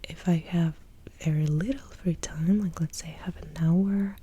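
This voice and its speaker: A young adult woman from Russia, speaking in a hushed, breathy half-whisper as she answers viewer questions in a soft-spoken ASMR video, very close to the mic. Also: fairly low pitch, steady tone, moderate pace, muffled recording, slight background noise. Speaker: ASMR creator. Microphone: close sensitive ASMR mic.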